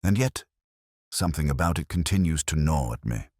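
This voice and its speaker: A man's voice: rumbling low, lively intonation, brisk, a little mellow, no echo, clean studio sound. A man voicing an anxious, polite Victorian private secretary in an audiobook, speaking formally and precisely. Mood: uneasy.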